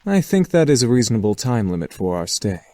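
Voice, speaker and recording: A man's voice: mid-range voice, very animated, brisk, warm tone, no echo. An actor playing a courteous, formal young male incubus in a visual novel game. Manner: polite, formal.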